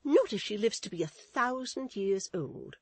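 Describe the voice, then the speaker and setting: A woman's voice: mid-range voice, big pitch swings, measured pace, a little mellow, dry recording, voice pushed up. A woman voicing a pompous, self-pitying matronly lady in a storybook reading, with emphatic, declamatory delivery and theatrical lamenting. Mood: fretful disdain. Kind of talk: dramatized Victorian novel reading.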